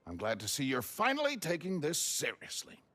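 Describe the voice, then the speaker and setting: Pompous expert for a game: prissy, haughty, high and swooping. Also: male voice, wildly swooping pitch, normal speed, pushed and bright, heavily acted. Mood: condescending. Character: pompous, condescending male expert, prissy and self-important. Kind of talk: video game cutscene acting.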